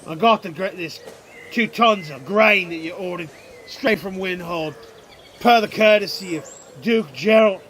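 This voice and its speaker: A man playing a brisk, businesslike cart master and messenger in a fantasy radio drama, used to giving orders. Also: high voice, a bit bright. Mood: formal. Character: commanding male grain hauler and messenger, brisk, businesslike.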